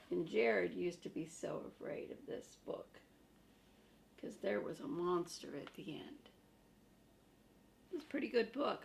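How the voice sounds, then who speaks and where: An older woman reading a children's book aloud, chatting to young listeners in simple, gentle phrases. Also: mid-range voice, creaky, a little hiss or hum. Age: older, a grandmother.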